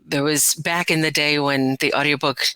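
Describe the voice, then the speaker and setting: A woman's voice: sits low, steady tone, moderate pace, pushed and bright, clean tone, dry recording. A middle-aged woman chatting as an interview guest, telling stories about her work in a casual, self-deprecating way. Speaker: interview guest, audiobook narrator. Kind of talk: casual interview, recounting career.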